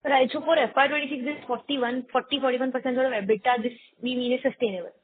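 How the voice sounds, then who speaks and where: Fast, husky Indian-accented woman on phone. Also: high voice, steady tone. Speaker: analyst asking questions. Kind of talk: earnings call questions. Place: conference call. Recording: tinny phone-like conference line.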